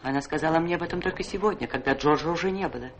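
An actress playing a steady, compassionate army officer's wife in a radio play, speaking gravely and plainly. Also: female voice, low-pitched, racing, background noise. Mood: grave, sorrowful.

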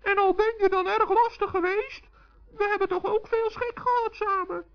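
A little forest gnome, good-natured and earnest, with an extremely high voice. It comes from a children's radio play with dull, old sound. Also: steady tone, moderate pace. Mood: kindly, pleased.

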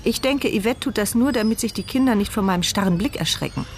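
A woman's voice: mid-range voice, fast talker, a little hiss or hum, natural voice. An actress playing a bitter, sardonic paralysed woman who speaks her inner thoughts as the narrator of a radio drama. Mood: wistful. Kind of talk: radio drama first-person inner monologue. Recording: studio radio play with music underneath.

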